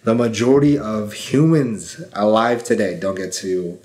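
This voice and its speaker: A young man hosts a relaxed podcast, talking in a chatty, upbeat manner. His voice sits a little on the low side. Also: a little slow, reverberant. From California. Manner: upbeat, chatty, laughs easily. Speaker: podcast host. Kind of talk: casual podcast interview.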